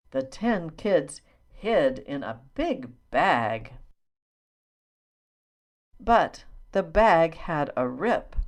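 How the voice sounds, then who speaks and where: A woman narrating an early reader for young children, in deliberate, simple phrases. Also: low-pitched. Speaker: audiobook narrator. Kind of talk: slow read-aloud of a children's early reader.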